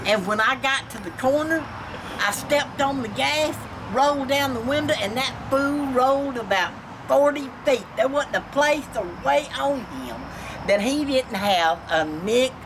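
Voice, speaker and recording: Older Georgia woman, noisy porch recording. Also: mid-range voice. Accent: Southern American, Georgia. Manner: blunt, folksy, salty-tongued. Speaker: storytelling grandmother. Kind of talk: casual personal storytelling. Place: outdoors on a front porch. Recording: noisy outdoor home video, passing vehicles.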